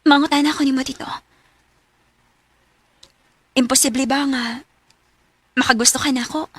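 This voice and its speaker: A woman with a Cebuano Filipino accent acting a lovestruck, bold young woman in a radio romance drama, earnest and expressive. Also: high voice, fast talker, breathy edge, no room sound. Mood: earnest, pleading.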